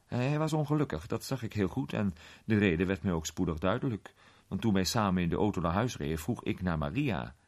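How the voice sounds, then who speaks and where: Worldly actor telling his story in a radio drama, raspy voice, very animated, conversational with little hesitations. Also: sits low, brisk. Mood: uneasy, confiding. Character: worldly male film actor recounting an unsettling memory.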